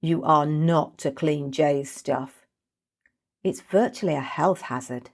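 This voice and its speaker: A woman's voice: sits low, slow, a little mellow, heavily acted. Woman as a blunt, sardonic young housemate. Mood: stern, scolding.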